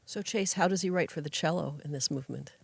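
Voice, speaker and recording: A woman hosting a live radio interview from a performance studio, asking questions briskly. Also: sits low, gravelly, breathy edge, no room sound. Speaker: radio host.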